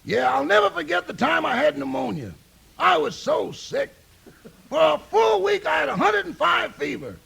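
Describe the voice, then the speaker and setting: An actor playing a loyal, wisecracking valet in a 1950s radio comedy, raspy and emphatic with comic timing. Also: male voice, very high-pitched, very animated, normal speed, sharp tone, full character voice. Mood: earnest storytelling. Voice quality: raspy, gravelly. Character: loyal wisecracking male valet chatting with a porter.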